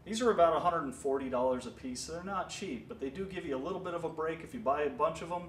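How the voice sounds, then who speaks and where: A man's voice: fairly high pitch, fast, echoey room, recorded from a distance, a little hiss or hum. A man casually walking through how he repaired his basement walls, explaining plainly and restarting his sentences as he goes. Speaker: homeowner doing a DIY repair.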